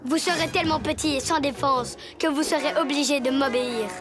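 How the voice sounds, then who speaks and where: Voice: sits high, a little fast, over music, close to an everyday voice. An actor voicing a bossy, quarrelsome child ninja villain in a cartoon, taunting and giving orders. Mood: gloating, squabbling. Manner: taunting, commanding. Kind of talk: animated cartoon dub.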